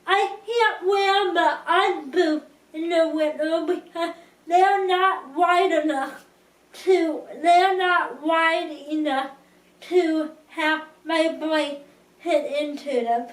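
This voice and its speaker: Young woman vlogger, very slow deliberate delivery, roomy distant sound. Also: very high-pitched. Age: young adult. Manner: slow, careful speech with restarts. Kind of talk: casual talk to camera.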